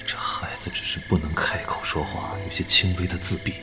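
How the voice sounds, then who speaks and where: A man's voice: low voice, flat delivery, a little fast, in a whisper, loud music behind the voice, natural voice. An actor playing a shocked, resolute man who wakes in a boy's body, talking to himself in a brooding audio drama monologue. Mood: anguished, angry. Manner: brooding, dramatic self-talk.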